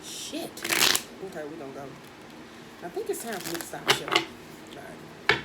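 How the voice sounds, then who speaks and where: A woman with a husky voice doing a casual, chatty tarot reading, speaking in with laughs and slangy asides. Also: fairly low pitch, very animated, moderate pace, very noisy. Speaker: tarot reader.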